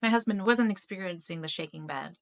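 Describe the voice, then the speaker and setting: A middle-aged woman recounts a personal story to camera in a halting, emotional way, often restarting mid-sentence. Her voice sits at an ordinary pitch for a woman. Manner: emotional, halting, many restarts and self-interruptions. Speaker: spiritual YouTube channel host.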